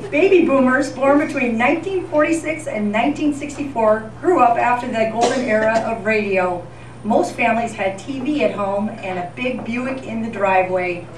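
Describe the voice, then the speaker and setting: A woman's voice: a little high, moderate pace, crowd noise. A woman reading scripted, nostalgic historical narration as a presenter at a community appreciation event. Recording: echoey room, distant mic, clear background noise.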